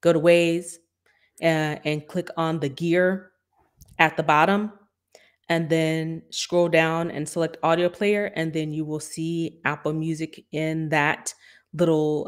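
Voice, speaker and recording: Female podcast co-host, slightly low voice, chatty with filler words. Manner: conversational, frequent ums and uhs. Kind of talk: casual tech news chat.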